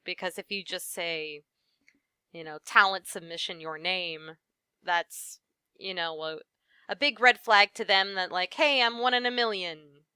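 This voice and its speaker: A young woman, a voice actress, answering interview questions in a lively conversational way with frequent "um" and "you know". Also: fairly low pitch, clean tone, no room sound, studio quality. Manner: animated, many fillers like "um" and "you know". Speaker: voice actress guest.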